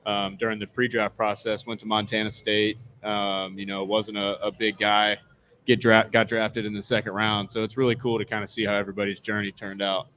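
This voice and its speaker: A man's voice: on the low side, little pitch movement, fairly quick, a bit bright. A man in his twenties with an American accent, answering interview questions as an athlete in a casual, laid-back, modest way. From Southern California, United States. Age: 20s. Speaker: American football player being interviewed. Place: live event at a casino.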